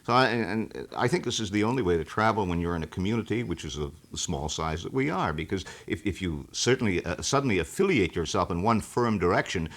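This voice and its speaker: A middle-aged man with a low, deep voice talks casually in a radio studio. He is measured and reflective, often circling a phrase before he settles on it, like an experienced talk show host being interviewed. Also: expressive. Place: small radio station studio.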